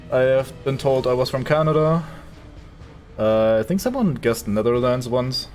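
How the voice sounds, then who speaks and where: A man's voice: mid-range voice, darkish tone. A man from Germany with a German accent, giving casual, sarcastic commentary as he streams a game and swears at it.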